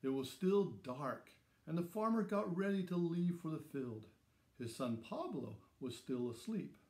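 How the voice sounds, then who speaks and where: A man in his sixties narrates a children's story at a slow pace. His phrasing is measured and simple, with dramatic emphasis on key words. The recording has a clear room echo around the voice. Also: on the high side, lively intonation, a little mellow. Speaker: storybook reader.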